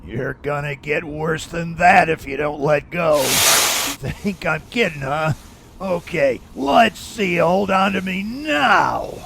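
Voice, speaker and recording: A man's voice: on the high side, lively intonation, takes it slowly, gravelly, background noise, heavily acted. A man voicing a cocky, menacing street-tough supervillain in a comic dub. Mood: taunting. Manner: taunting, street-tough wording. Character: cocky male supervillain thug, taunting and menacing. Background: music.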